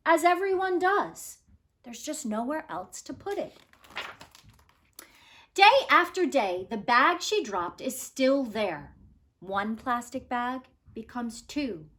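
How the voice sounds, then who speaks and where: A woman reads a children's story aloud in a fairly high voice. She takes her time over each phrase and moves her pitch around expressively, like a teacher sharing a book with students. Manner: deliberate, expressive storytelling delivery.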